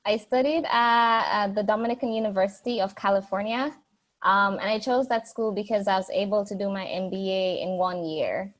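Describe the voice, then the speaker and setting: Young woman, podcast guest, chatty storyteller with fillers, mid-pitched voice. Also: moderate pace. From Jamaica. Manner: chatty, frequent restarts and fillers. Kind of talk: casual interview answers.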